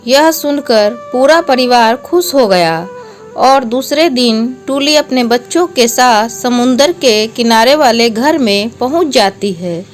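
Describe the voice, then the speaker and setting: Woman narrator for a moral story, higher-toned, very measured pace. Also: expressive, soft background music.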